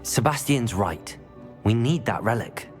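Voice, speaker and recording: A young man acting as a calm, pragmatic teenage student wizard in a video game, with a measured, polite delivery. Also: mid-range voice, lightly acted. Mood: persuasive. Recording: faint music behind the voice.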